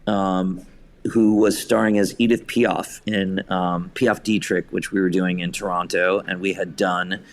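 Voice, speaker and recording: A man's voice: low voice, flat delivery, a little slow. A man speaking as an interview guest, telling casual anecdotes in an enthusiastic, effusive way. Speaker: podcast guest, theatre director.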